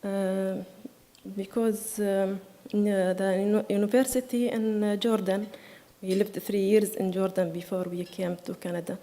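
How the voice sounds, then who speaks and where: Slow, hesitant woman in a hall. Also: medium pitch, steady tone, warm tone. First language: Arabic. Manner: slow, hesitant, many fillers. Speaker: refugee speaker at a meeting. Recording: hall reverb.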